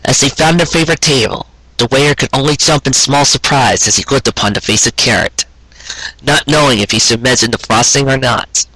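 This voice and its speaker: A man narrates a story in a bright, slightly rough voice. His delivery is measured and sentimental, clearly read from a script. The sound is very dry, with hardly any room around him. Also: mid-range voice, no echo, low-quality recording. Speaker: audiobook reader of fan fiction.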